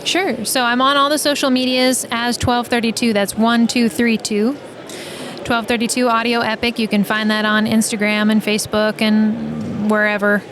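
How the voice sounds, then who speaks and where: A woman's voice: a little high, flat delivery, moderate pace. A woman from New Mexico with an American accent, chatting casually as an interview guest. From New Mexico, USA. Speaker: guest, audio drama creator and writer. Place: live at an event being packed up. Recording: clattering teardown noise, busy event. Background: things dropped, loud ambient clatter.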